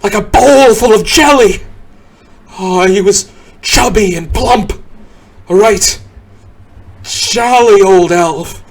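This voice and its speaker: A man giving a dramatic recitation of a poem, theatrical, moving between hushed murmurs and booming exclamations. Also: takes it slowly, long pauses. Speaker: poem reader.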